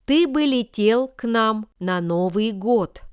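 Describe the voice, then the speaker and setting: A woman reading example sentences for language learners with careful, deliberate enunciation. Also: fairly high pitch, lively intonation, smooth, no echo, studio quality. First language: Russian. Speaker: language lesson reader.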